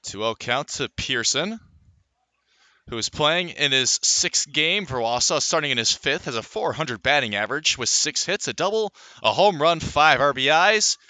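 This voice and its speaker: Guy doing live radio baseball play-by-play, really sharp bright voice, slightly high, reels off stats between pitches. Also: lively intonation, moderate pace, no room sound. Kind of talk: live sports play-by-play commentary.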